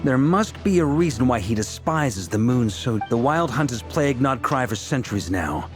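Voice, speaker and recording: A man voicing a confident, easygoing commander in a video game. His pitch moves with plenty of life, yet he lays out his thoughts deliberately and reassuringly. Music plays behind him. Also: expressive, natural voice. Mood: resolute. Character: confident, laid-back adult male military leader planning strategy. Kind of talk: scripted game dialogue.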